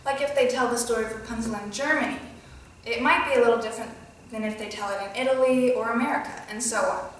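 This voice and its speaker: A cheerful young storybook princess played by a woman speaks in a high voice with friendly, simple explanations for children. She sounds distant from the mic in a very echoey room, with music behind her. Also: steady tone, fast, slight background noise, natural voice. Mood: friendly, explaining. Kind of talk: scripted children's educational presentation in character.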